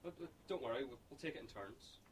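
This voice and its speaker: A man's voice: mid-range voice, lively intonation, quick pace, a little hiss or hum, lightly acted. A man playing a nervous young survivor in an amateur radio drama, hesitant and stumbling over repeated words. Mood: tentative. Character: Liam, nervous daydreaming young male survivor.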